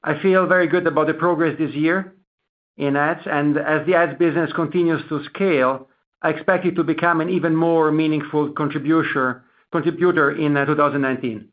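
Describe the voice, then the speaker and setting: A man with a fairly high voice answers as a company executive on an earnings call. His delivery is measured and corporate, with hesitant repetitions. The sound is narrow and phone-like. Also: fairly even intonation. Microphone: conference call line. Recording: narrow tinny phone-line conference call.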